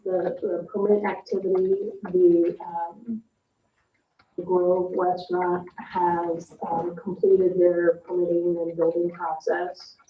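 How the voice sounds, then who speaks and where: A woman giving a halting status report as a staff member at a public meeting. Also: fairly low pitch, little pitch movement. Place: indoor public meeting room. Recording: echoey room, distant mic, muffled, noisy.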